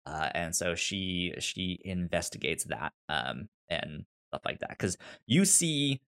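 Slow-talking man with a pronounced stutter. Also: sits low, expressive, creaky, fairly bright tone. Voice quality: pronounced stutter, repeating sounds and syllables. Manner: halting, informal delivery. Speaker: podcast co-host. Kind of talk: casual chat recommending and discussing TV shows.

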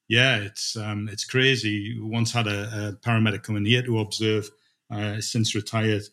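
A man's voice: lowish voice, level tone, normal speed, fairly bright tone. A middle-aged man speaking as a manager in an informal interview, thinking aloud and repeating words as he goes. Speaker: dispatch manager being interviewed.

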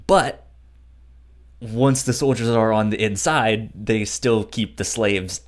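A man's voice: fairly low pitch, fairly even intonation, slow pace. A man co-hosting a podcast, discussing a book casually, with a frequent stutter that repeats sounds and syllables. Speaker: podcast co-host.

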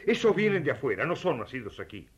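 A man's voice: mid-range voice, big pitch swings, fast talker, dull audio, natural voice. An Argentine man playing a zealous, hot-headed religious persecutor in radio theatre, emphatic and accusatory. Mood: scornful, conspiratorial.

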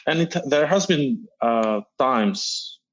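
A man's voice: medium pitch, lively intonation, slow, poor audio. A middle-aged man from Albania, answering interview questions earnestly and often repeating words as he searches for them. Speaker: guest.

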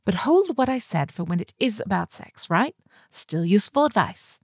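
A woman's voice: mid-range voice, big pitch swings, moderate pace, husky, dry recording, studio quality. A woman voicing a brash, foul-mouthed London woman in her thirties, breezy and blunt, for an audiobook. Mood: cheerfully oblivious.

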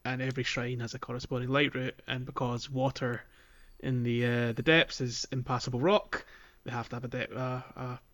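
A man hosting a podcast, casually guiding listeners through a video game in a halting, think-aloud way. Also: medium pitch, flat delivery, fairly bright tone. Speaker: podcast host.

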